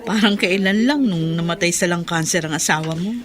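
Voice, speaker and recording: A woman playing a humble middle-aged mother in a radio drama, speaking gently and earnestly. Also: lowish voice, brisk, a crowd in the background, natural voice. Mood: friendly, concerned. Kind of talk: Tagalog radio drama acting.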